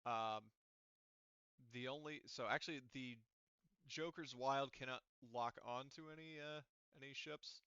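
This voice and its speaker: A man acting as game master in casual tabletop play, haltingly thinking aloud as he explains rules. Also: medium pitch, sharp tone, clean tone, no echo, muffled recording.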